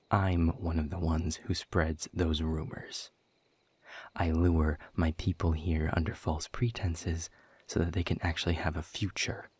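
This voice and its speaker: Man acting a husky, very deep, weary demon. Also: darkish tone, dry recording, heavily acted. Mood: bitter confession. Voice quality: husky, breathy, often dropping toward a whisper. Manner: measured, deliberate, sardonic, formal phrasing. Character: weary, bitter, guarded male demon captive, quietly defiant. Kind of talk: scripted audio roleplay monologue.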